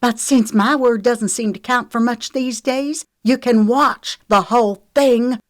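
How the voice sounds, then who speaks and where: A furious young woman fighting back, acted by a female audiobook narrator. Her voice is pushed high and loud, defiant and frightened at once. The sound is dry. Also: steady tone, a little slow, no room sound, lightly acted. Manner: shouting and screaming, defiant. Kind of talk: audiobook character acting.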